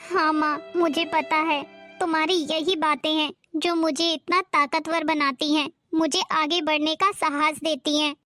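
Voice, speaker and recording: An earnest, determined young graduate from an animated family drama, voiced by a woman. Her voice is high and eager, with soft music behind. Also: unhurried.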